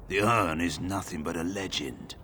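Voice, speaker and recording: A man's voice: low-pitched, normal speed, sharp tone, a little hiss or hum. A man playing a terse, suspicious village guard in a video game, speaking in a guarded, formal way. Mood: guarded, firm. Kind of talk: video game dialogue acting.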